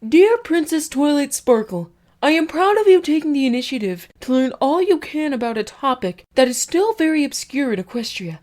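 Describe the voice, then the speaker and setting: A woman's voice: high-pitched, very animated, normal speed, hardly any pauses, a little mellow. A woman voicing a young boy dragon assistant in a storybook, reading aloud in a formal manner. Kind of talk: audio storybook character acting.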